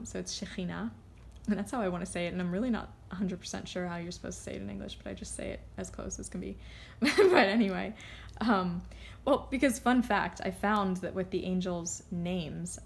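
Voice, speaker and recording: A woman giving a casual, unscripted card reading, rambling and halting as she drifts off on tangents. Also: on the low side, vocal fry, a little hiss or hum. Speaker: tarot card reader.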